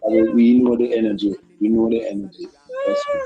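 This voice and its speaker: A man chatting as a guest on a casual interview, relaxed, often repeating words and laughing along. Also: medium pitch, brisk, creaky, highs cut off, soft background music. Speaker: guest artist.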